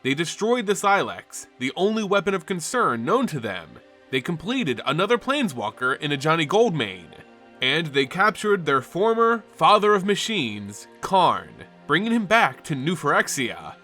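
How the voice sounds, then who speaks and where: A man's voice: a little high, sing-song, normal speed, bright, forceful tone. A man hosting and narrating a fantasy lore show, reading a script in a dramatic, emphatic storytelling manner. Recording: background music under the voice. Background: music.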